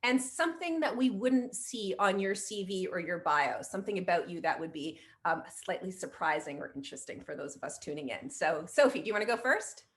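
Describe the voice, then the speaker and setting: A woman's voice: lowish voice, sing-song, fairly quick, few pauses. A woman hosting a panel over a video call, inviting guests to introduce themselves with encouraging remarks. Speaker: host, moderator. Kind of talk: moderating a panel.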